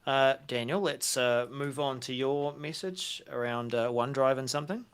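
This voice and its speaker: A man's voice: mid-range voice, moderate pace, clear, resonant tone. A man co-hosting a tech news show in casual, unscripted conversation, phrasing things in short, hesitant pieces.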